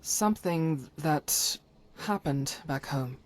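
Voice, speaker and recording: Slow, low-voiced devout warrior woman. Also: lively intonation, heavily acted. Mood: guarded, resolute. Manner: solemn, formal, measured. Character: devout, stern female holy warrior shaken by doubt. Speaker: voiced follower character in a fantasy game mod. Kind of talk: scripted dramatic game dialogue.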